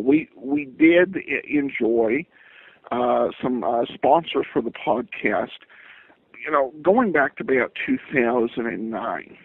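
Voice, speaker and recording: A man's voice: mid-range voice, gravelly, dry recording. A man answering interview questions as a podcast guest, speaking hesitantly with repeated stammered restarts, over a call. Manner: hesitant, halting delivery with frequent stammered repeats. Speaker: guest, podcaster of old-time radio shows. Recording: tinny phone-line sound, dry.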